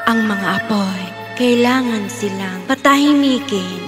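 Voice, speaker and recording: A woman's voice: fairly high pitch, measured pace, music in the background, close to an everyday voice. A woman playing a solemn, ancient magical guardian tree in a fairy tale. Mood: ominous.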